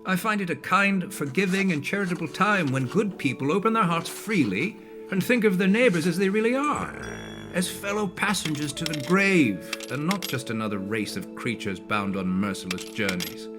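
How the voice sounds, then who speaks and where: An actor playing a miser's cheerful, warm-hearted nephew in a radio play, hearty and earnest. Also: male voice, a little high, lively intonation, normal speed, over music, natural voice. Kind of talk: theatrical radio-play acting.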